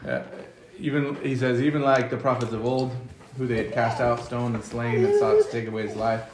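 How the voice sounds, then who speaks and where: A father giving casual, reflective commentary on scripture, speaking haltingly as he thinks aloud. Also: medium pitch, sing-song, crowd noise. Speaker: father in a family scripture discussion. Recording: echoey room with clear background noise.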